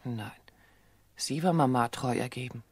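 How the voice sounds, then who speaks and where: Worried daughter, low husky voice, fearful, insistent, dry radio drama. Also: female voice, unhurried, a little mellow, dry recording, exaggerated voice. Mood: tense. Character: anxious grown daughter under suspicion of murder.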